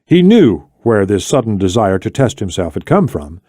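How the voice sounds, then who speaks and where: A man reading a thriller novel as scripted audiobook narration, in a measured, deliberate way with dramatic pauses. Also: fairly low pitch, big pitch swings, dark tone, no room sound, clean studio sound.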